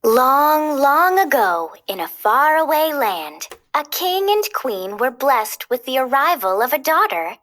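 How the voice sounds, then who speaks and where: Lady reading a kids storybook, kinda high voice, takes her time, very expressive. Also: a little slow, few pauses. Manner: expressive, clearly paced. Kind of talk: children's storybook read-aloud.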